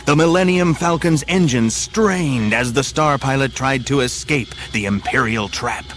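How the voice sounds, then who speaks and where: A man reads scripted narration for an adventure audio drama with a dramatic storytelling delivery. His pitch is average, and prominent music plays behind him. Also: measured pace, a bit bright.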